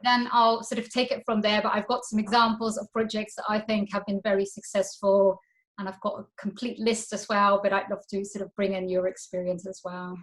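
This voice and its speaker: A woman's voice: mid-range voice, level tone, brisk, poor audio. Woman hosting a video-call webinar. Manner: conversational, thinking aloud, friendly prompting. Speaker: diversity consultant hosting a webinar. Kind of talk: webinar presentation and group round.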